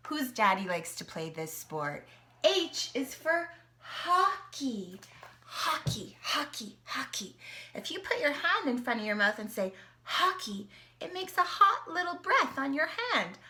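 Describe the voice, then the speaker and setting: Woman storyteller from Canada, high bright voice, slow and lively, reads to a little one. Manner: animated, questioning the listener, gasping at pictures. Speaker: storyteller reading to a child. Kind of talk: children's story reading with sing-along.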